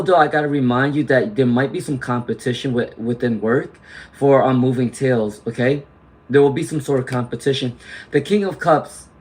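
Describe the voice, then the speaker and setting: A man doing a live tarot reading, chatty and reassuring, clearing his throat often as he loses his voice to laryngitis. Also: medium pitch. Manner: chatty, reassuring, frequent "okay?" and "all right?" tags. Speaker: tarot reader; teacher.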